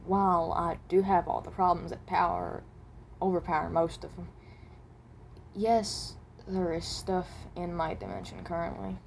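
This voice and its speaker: Woman as an awkward haunted animatronic, low voice, noisy recording. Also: steady tone, measured pace, vocal fry. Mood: reluctant, guarded. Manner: hesitant, rambling, trailing off. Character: haunted animatronic possessed by someone who died at seventeen, awkward, guarded. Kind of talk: amateur character voice acting, improvised monologue.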